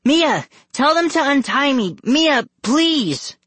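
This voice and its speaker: Scared young captive girl played by a man, audiobook. Very high, bright, forceful pleading. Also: very animated, takes it slowly, no room sound, exaggerated voice. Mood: panicked.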